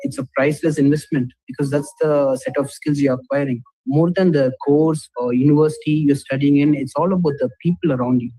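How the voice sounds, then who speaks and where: A man's voice: fairly high pitch, fairly even intonation, a little fast, hardly any pauses, dark tone, faint music behind, poor audio. A young man giving a personal testimonial as a student living abroad. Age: young adult.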